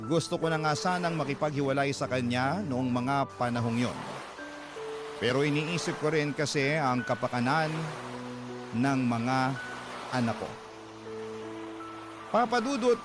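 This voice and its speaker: Man narrating earnestly over music. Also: medium pitch. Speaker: letter narrator in a drama. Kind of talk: scripted dramatic narration.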